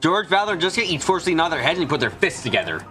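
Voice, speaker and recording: A bright, lively streamer narrates a visual novel during a let's play. The reading moves fast and sometimes stumbles, with game music faintly behind. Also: medium pitch. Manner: stumbles and repeats words while reading.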